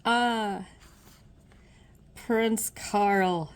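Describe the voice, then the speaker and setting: Woman voicing a slow, gloomy, menacing storm cloud. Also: medium pitch, steady tone. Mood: ominous. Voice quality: husky, murmuring. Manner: sighing, slow and ominous.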